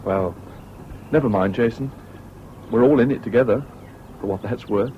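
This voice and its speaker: A man's voice: medium pitch, moderate pace, lightly acted. An actor playing an earnest young English student who recalls his past in a British radio drama. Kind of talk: 1970s British radio drama acting and narration. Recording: dull, hissy old radio recording.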